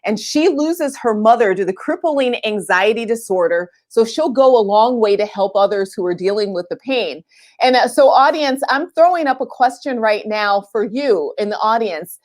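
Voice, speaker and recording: A woman with a fairly high-pitched voice hosts a casual live-streamed conversation. She is chatty and informal, often turning to address her audience directly. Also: lively intonation, moderate pace. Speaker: host of a live online book chat.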